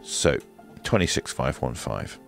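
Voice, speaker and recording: A man's voice: rumbling low, animated, a little slow, creaky, a bit bright, soft background music. A man presenting a casual hands-on radio equipment demonstration, explaining step by step in a methodical, hesitant way.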